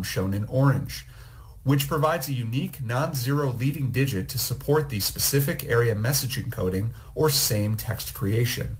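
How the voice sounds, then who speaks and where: Man narrating a technical presentation. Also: mid-range voice. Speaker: program manager presenting. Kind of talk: scripted narration of a recorded presentation.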